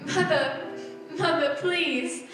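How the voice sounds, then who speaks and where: A woman voicing a young daughter in a radio play, talking tenderly and coaxingly. Her voice is high and unhurried. It echoes across a large stage, far from the mic. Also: music in the background. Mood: consoling. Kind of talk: 1940s style radio drama acting.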